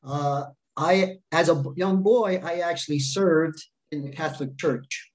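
Man sharing his faith story. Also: a little high. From America. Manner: speaks in short phrases with pauses and fillers. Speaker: guest speaker sharing testimony. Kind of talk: personal faith testimony, paused for interpreter.

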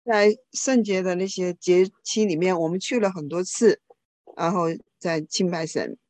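A woman interpreting a speaker's talk into another language live, quickly and informally, often correcting herself. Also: fairly low pitch, darkish tone.

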